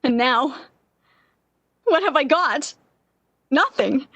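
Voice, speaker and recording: A woman acts out an obsessive, lovesick young woman from a 1940s radio play. Her voice is fairly high and slow, full of melodramatic yearning, and recorded close and dry. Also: lively intonation, a bit bright, no room sound, muffled recording, full character voice. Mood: wistful, despairing. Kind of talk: 1940s radio drama acting.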